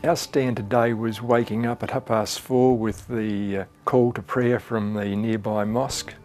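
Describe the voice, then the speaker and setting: An older man speaks in a low, even, matter-of-fact way about volunteer work overseas. His pitch barely moves. Soft music sits underneath. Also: unhurried. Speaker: church volunteer. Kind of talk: interview testimony.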